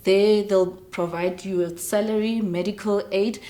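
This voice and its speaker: A woman's voice: medium pitch, fairly even intonation, measured pace, warm tone. A woman with a South African accent, speaking as an air traffic controller being interviewed, explaining things informally.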